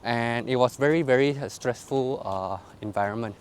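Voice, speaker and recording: A man's voice: medium pitch, moderate pace, slight background noise. A young man, chatting to the camera as a vlogger while walking in a park, dropping lah and ah into loose, chatty sentences. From Indonesia. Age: 20s. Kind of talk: casual chat to camera. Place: outdoor park path at night.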